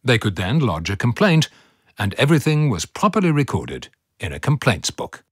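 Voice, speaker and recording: A man's voice: mid-range voice, very animated, moderate pace, slightly rough, dry recording. A man giving scripted narration for a museum audio play, as a storytelling narrator. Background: faint music.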